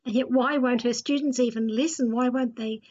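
A woman with a distinctly high voice hosts a conversational radio discussion. She talks informally, as one steering a panel. The recording is a little dull and lo-fi. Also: steady tone, a little fast, a little mellow. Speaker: host leading a panel discussion. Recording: dull, lo-fi sound.

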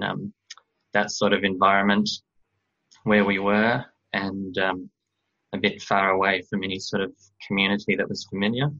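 A young man from Australia speaks in a very low, flat voice, searching for words with many pauses and fillers. His tone is bright and forward, though the recording sounds dull and muffled. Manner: hesitant, many ums and restarts. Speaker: guest. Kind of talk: radio interview.

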